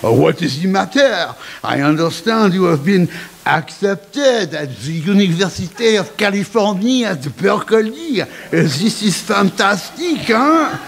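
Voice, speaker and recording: A man's voice: sits high, expressive, measured pace, gravelly, a bit bright, heavily acted. A man voicing a pompous, stern headmaster, sly and incredulous, in a told story.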